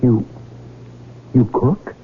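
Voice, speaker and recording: A man playing a meek, insecure rich man in a 1970s radio drama, hesitant and earnest, with a slight stammer in his delivery. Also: very slow. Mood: timid, forlorn. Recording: tinny, noisy old radio broadcast recording.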